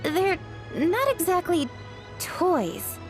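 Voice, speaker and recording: An actress playing an earnest young official in a video game, speaking in a measured, explanatory way. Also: female voice, extremely high pitch, big pitch swings, music in the background, full character voice. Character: young official woman, earnest, proud of tradition.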